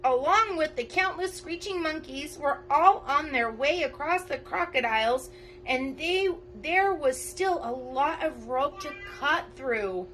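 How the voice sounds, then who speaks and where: Lady reading to school kids, really animated, high bright voice, little bit of noise behind. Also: a little slow, poor audio. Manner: animated, emphatic. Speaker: parent volunteer reading aloud to schoolchildren. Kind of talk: expressive children's storybook narration.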